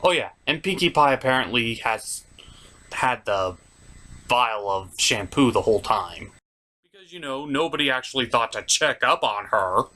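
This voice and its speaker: A man's voice: mid-range voice, animated, normal speed, bright, forceful tone. A man hosting a cartoon review, delivering scripted comedic narration in a wry, self-deprecating way.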